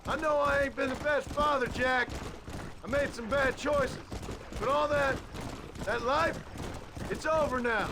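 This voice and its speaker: Over clear outdoor noise, a middle-aged American ex-outlaw talks like a tired Western father. He is stern and terse, with a dry frontier drawl suited to a game cutscene. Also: very high-pitched, measured pace, pauses often. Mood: weary, measured. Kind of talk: video game cutscene acting. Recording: outdoor noise of horses and wagon.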